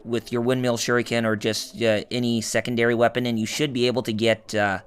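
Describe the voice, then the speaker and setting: A man with a hoarse, raspy voice hosting a let's play, rambling through his gameplay commentary with lots of fillers, restarts and small jokes. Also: medium pitch, flat delivery, a little fast.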